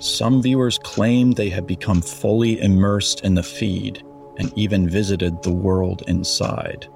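Male actor as apathetic young man, lowish creaky voice, muttering to himself, horror drama. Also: steady tone, measured pace, a little mellow. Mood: apathetic, wry. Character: depressed, apathetic young man mumbling at his laptop. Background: faint music.

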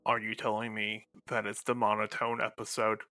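A man voicing a comic robot in a flat, mechanical monotone with stilted delivery. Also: mid-range voice, normal speed, clear, resonant tone, faint music behind, natural voice. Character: beeping monotone robot speaking in binary.

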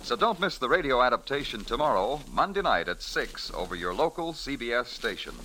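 Bright, animated American male radio announcer. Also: mid-range voice, a little fast, a little hiss or hum, rough recording. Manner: polished, emphatic. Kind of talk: 1940s scripted radio announcing and promotion.

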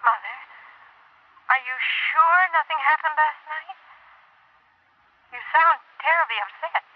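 A woman's voice: high voice, a little slow, no room sound. An actress playing a worried adult daughter phoning her mother in a 1960s radio drama. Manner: worried, hesitant. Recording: dull vintage radio recording.

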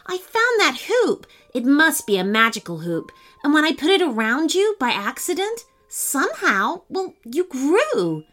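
An excitable young male hero, voiced by a woman reading a story aloud, with a high, bright voice. He bursts out in surprised exclamations that swing up and down. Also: measured pace, few pauses, soft background music, full character voice. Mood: eager.

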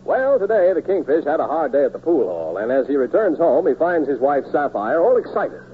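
Animated old-time radio announcer, man. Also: on the high side, a little fast, narrow, tinny audio, music in the background. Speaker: announcer and narrator. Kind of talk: 1940s radio announcing, scripted narration. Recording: thin old radio broadcast.

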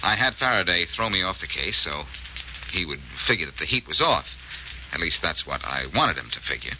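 Through a dull, noisy vintage radio recording comes a confident amateur sleuth. His deep voice swings expressively through clipped, wry lines in 1940s detective-drama style. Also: sing-song, brisk. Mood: brisk, confident.

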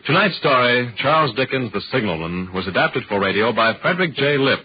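A man's voice: medium pitch, animated, a little fast, husky, no room sound. An American man announcing in a 1940s broadcast style. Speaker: radio announcer. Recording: muffled vintage radio broadcast.

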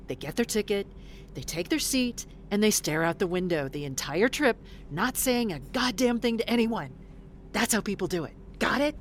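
A woman's voice: medium pitch, expressive, moderate pace, slight background noise, lightly acted. An actress playing a blunt, weary middle-aged woman fresh out of prison in an audio drama, swearing in exasperation. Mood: exasperated.

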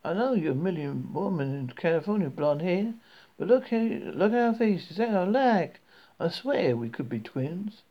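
A man's voice: high voice, big pitch swings, normal speed, lo-fi sound, voice pushed up. A man voicing a chatty, friendly young woman with a Southern US accent, gushing and talkative.

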